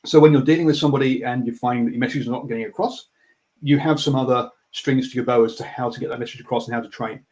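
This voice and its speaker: A man's voice: medium pitch, steady tone, fast, darkish tone, poor audio. Stammering male radio host. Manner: stammering restarts, thinking aloud. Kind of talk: radio interview.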